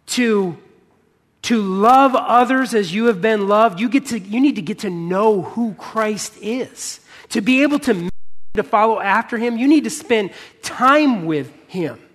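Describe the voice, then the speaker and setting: A man's voice: high voice, animated, unhurried, a bit bright. An American man with a touch of Midwestern accent preaches a sermon in a church auditorium, earnest and leaning on repetition for emphasis. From United States, born in Michigan. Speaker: pastor preaching. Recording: long hall reverb.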